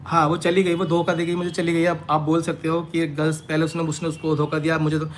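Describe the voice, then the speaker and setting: A man's voice: on the high side, monotone, quick pace. A man speaking unscripted to his followers on a live stream, defensive and emphatic, repeating his points, with some background noise. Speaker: online creator answering accusations. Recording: noisy live-stream audio with background ambience.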